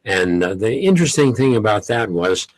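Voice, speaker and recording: Older man, blunt, low voice. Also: big pitch swings, moderate pace, no echo. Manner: blunt, chatty. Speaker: longtime radio show host. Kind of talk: interview guest. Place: radio studio. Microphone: studio microphone with headphones.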